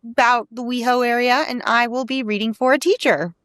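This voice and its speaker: A woman gives a casual introduction as an actor. Her voice is high, crisp and bright, recorded very dry. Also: fairly even intonation, brisk, dry recording. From Los Angeles, United States.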